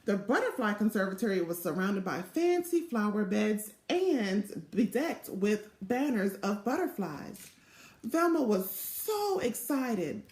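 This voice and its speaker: A middle-aged woman reading a children's storybook aloud with expressive, deliberate narration, recorded on a device mic in a small office. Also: mid-range voice, echoey room, rough recording. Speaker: storybook reader.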